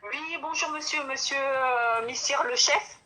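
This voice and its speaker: A woman's voice: high voice, unhurried, pushed and bright, poor audio. A woman calling in to a live show, polite and effusive, rambling casually from one point to the next. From born in Gabon, raised in France. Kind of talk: casual, rambling phone-in comment.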